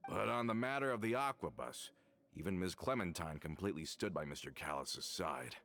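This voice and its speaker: An actor playing a reserved man in a video game, speaking in a measured, grave manner. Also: deep voice, animated, gravelly, fairly bright tone, slight background noise, full character voice. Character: deep-voiced, reserved man, a family associate.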